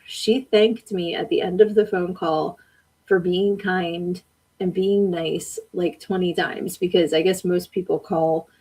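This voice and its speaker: A woman with a husky, slightly low voice chats casually on a live stream. She reads viewer comments aloud and answers them, laughing often. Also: a little mellow, rough recording. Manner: chatty, laughs often, talks back to the chat. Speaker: podcast host reading live comments.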